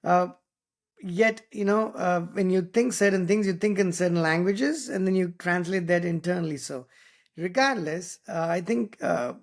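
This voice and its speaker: A man's voice: high-pitched, moderate pace. A man from India with an Indian English accent and Tamil as his first language, giving earnest solo podcast advice with many fillers, restarts and "right?" tags. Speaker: podcast host.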